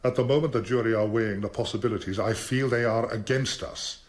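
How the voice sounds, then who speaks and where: An actor playing a formidable English defence barrister in a radio drama, commanding, deliberate and rhetorical. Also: male voice, fairly low pitch, fairly even intonation, natural voice. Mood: solemn pressing.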